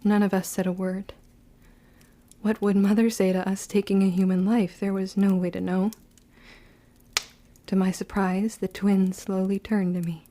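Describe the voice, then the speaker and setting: A woman acting a wounded, vengeful young witch who narrates her own story in an audio drama, with deliberate, emotive delivery. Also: mid-range voice, little pitch movement, mellow, natural voice. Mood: tense, hushed.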